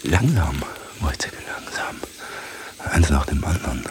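An actor playing a fussy, pompous superior in a radio drama, creaky-voiced and pedantically lecturing an underling. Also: male voice, extremely low pitch, animated, measured pace, in a whisper. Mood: condescending, fretful.

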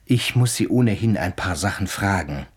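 An actor playing an earnest, inquisitive hospital doctor in a German radio play, with an emphatic, probing manner. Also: male voice, deep voice, lively intonation, moderate pace, breathy edge.

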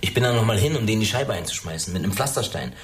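Man playing a low-voiced, laconic teenage delinquent. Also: racing, slight background noise, natural voice. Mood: cocky. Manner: laconic, casually deadpan. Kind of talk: naturalistic radio drama acting.

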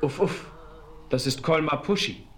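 An actor playing a noble Apache chief in a radio play, speaking calmly and formally. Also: male voice, a little high, measured pace. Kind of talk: 1970s radio play acting.

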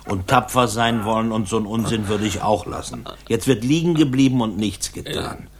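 A man playing a fatherly friend, brisk and bossy yet soothing, in a 1960s radio crime drama. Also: on the low side, slight background noise, close to an everyday voice. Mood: reassuring, bossy. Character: gruff, fatherly male friend tending an injured man.

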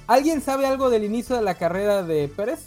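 A man's voice: high voice, lively intonation, fairly quick, a little mellow, faint music behind. A man with a Mexican Spanish accent hosting a casual live-streamed comic-book chat, rambling and correcting himself as he goes. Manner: rambling, restarts often, self-correcting. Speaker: podcast host.

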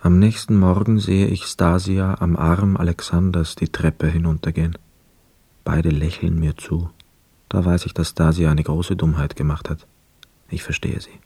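An actor playing a poor, wistful young man who narrates a literary radio drama in a measured, reflective manner. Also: low-pitched, flat delivery, breathy edge. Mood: sombre narration. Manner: measured, reflective, hushed inner asides.

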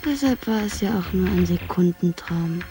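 An actress playing a wistful shuttle crew member in a science fiction radio play, musing in drawn-out phrases. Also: female voice, lowish voice, animated, mellow, faint music behind, natural voice. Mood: wistful daydreaming.

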